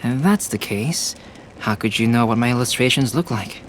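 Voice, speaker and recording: A composed young male scholar voiced by an actor talks at a medium pitch. He is reserved and analytical, laying out his thoughts formally in a game story scene. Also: animated, normal speed, a little hiss or hum, natural voice. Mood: probing, logical. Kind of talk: video game character voice acting.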